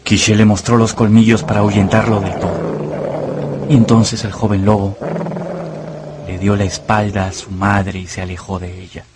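A man's voice: fairly low pitch, fairly even intonation, normal speed, noisy background. A man narrating a radio novel as a scripted, dramatic storyteller.

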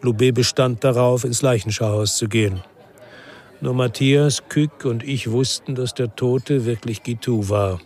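A man's voice: mid-range voice, measured pace, a little mellow, lightly acted. An actor playing a world-weary ex-policeman who narrates a radio crime drama in a brooding, reflective way.

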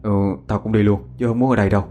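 A man's voice: on the low side, steady tone, very fast, warm tone, soft background music, natural voice. Man playing a blunt, fed-up young student. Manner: blunt, colloquial.

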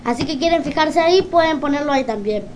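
High-voiced Argentine female radio host. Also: animated, fast talker, darkish tone, a little hiss or hum. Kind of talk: informal community radio presenting.

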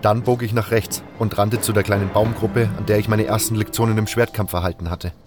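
A man's voice: fairly low pitch, fairly even intonation, brisk, over music. A man reading scripted first-person narration for a fantasy fan audio drama.

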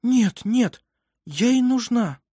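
A woman voicing a fussy but tender-hearted lady in an audiobook, speaking in expressive exclamations. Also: a little high, takes it slowly, slightly rough, no room sound, studio quality, pitched above the performer's own voice, exaggerated voice.

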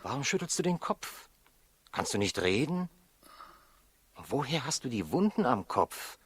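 An actor playing a wry, inquisitive traveling stranger in a 1960s radio play, with a coaxing, questioning manner. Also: male voice, mid-range voice, big pitch swings, measured pace, a bit bright, breathy edge, no room sound, heavily acted. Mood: coaxing, reassuring.

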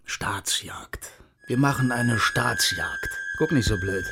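An actor playing a menacing, hard-drinking East German hardliner in a radio crime drama, with a clipped, needling, conspiratorial manner. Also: male voice, mid-range voice, animated, slow, gravelly, heavy background noise.

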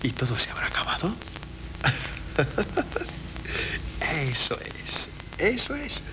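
An actor playing a nervous, flustered husband in a 1970s radio drama, hesitant and pleading. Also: male voice, a little high, very animated, slow pace, whispered, poor audio. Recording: old radio broadcast with faint hiss.

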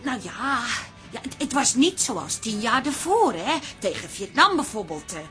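Flustered wife, high lively voice. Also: female voice, normal speed, soft background music. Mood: hesitant, wistful. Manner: hesitant, searching for words, exclaiming. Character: warm, flustered, girlishly excitable middle-aged wife. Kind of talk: naturalistic radio drama dialogue.

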